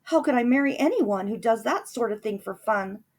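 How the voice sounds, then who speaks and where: A woman's voice: a little high, lively intonation, normal speed, a little mellow, voice pushed up. A woman doing a whiny, demanding young heroine. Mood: dismayed.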